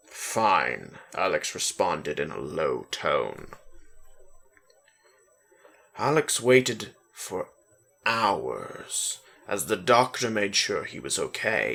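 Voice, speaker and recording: A man narrates fiction as a dramatic reading. His voice is lowish and creaky, and his intonation moves around a lot. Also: bright, forceful tone. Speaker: story reader.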